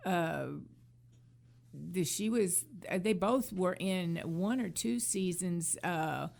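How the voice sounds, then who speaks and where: A woman's voice: fairly low pitch, slow pace, creaky, darkish tone. A woman co-hosting a casual chat, hesitant and rambling in an agreeable way. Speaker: co-host.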